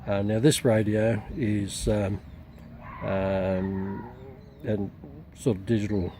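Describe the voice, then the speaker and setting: A middle-aged man with an Australian accent gives an unscripted product demonstration as a reviewer, rambling hesitantly with frequent pauses. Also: lowish voice, flat delivery, mellow. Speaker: radio reviewer. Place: outdoors in a backyard. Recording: clearly noisy outdoor camera recording.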